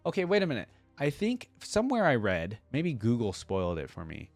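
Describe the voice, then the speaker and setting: A man's voice: medium pitch, wildly swooping pitch, fairly quick, dry recording, soft background music. A man giving casual reaction commentary, thinking aloud in a curious, questioning way. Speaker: reaction video host.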